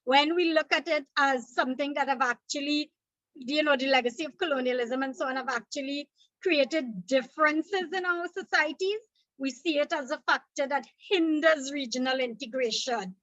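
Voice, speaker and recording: Trinidadian woman teacher, high bright voice, patient revision lecture, dry muffled recording. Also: normal speed, no room sound. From Trinidad and Tobago. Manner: explanatory, repeats points, checks in with "okay?".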